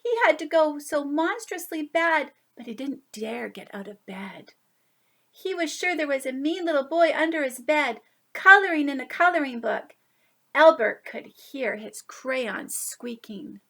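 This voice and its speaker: A woman reading a picture book aloud to young children, expressive, with drawn-out emphasis on key words. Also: sits high. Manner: animated, drawn-out emphasis, asides to listeners. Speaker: children's story reader.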